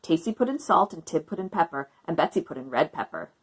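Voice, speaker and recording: A woman with a husky, breathy voice reads a storybook aloud. Her pitch bounces up and down as she brings the story to life. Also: very animated, quick pace. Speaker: guest reader. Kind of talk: read-aloud storybook narration.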